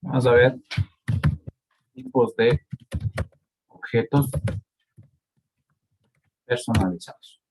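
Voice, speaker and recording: A man's voice: mid-range voice, a little mellow, poor audio. A man with an Ecuadorian Spanish accent teaching a live coding lesson, stopping often to ask the students whether they are following. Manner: slow, checks in with frequent "¿sí?" and "¿de acuerdo?". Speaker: university lecturer.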